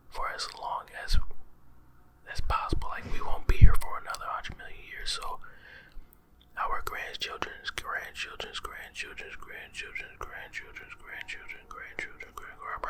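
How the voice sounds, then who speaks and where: A man whispering space facts for an ASMR video, slangy and playful, reacting with amazement and repeating words. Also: very high-pitched. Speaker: ASMR creator. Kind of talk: whispered ASMR fact telling.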